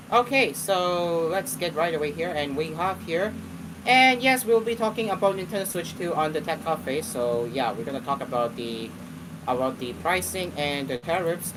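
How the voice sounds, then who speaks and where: Man, 20s, casual show host reading and commenting live, halting delivery, noisy recording. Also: a little high. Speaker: host of an online talk show.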